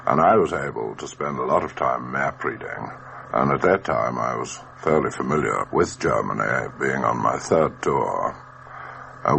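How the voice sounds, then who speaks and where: A man's voice: extremely low pitch, gravelly, fairly bright tone. A middle-aged man recalling wartime service as a former squadron leader, precise and assured. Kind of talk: recounting wartime memories. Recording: faint hum and hiss.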